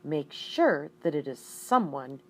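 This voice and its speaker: Slow, expressive woman reading a story. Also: low voice. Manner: deliberate, with emphatic repeated words. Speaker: audiobook reader. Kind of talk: expressive read-aloud of a children's story.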